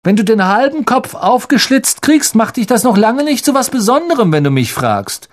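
A man voicing an arrogant schoolboy bully who mocks and taunts in a shrill, high voice for an audiobook. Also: big pitch swings, normal speed. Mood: sneering.

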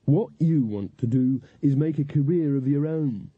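A man's voice: on the high side, lively intonation, a little slow, husky, pitched above the performer's own voice. A man voicing an earnest, encouraging fairy godmother, a woman who is insistent but easily flustered, in an audiobook story. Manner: insistent, hesitant when challenged. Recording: echoey, narrow phone-like audio.

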